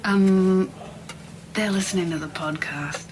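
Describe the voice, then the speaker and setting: An actress playing a sympathetic woman restaurant worker, hesitant and gently reproving, in a naturalistic audio drama. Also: mid-range voice, takes it slowly, slight background noise, close to an everyday voice.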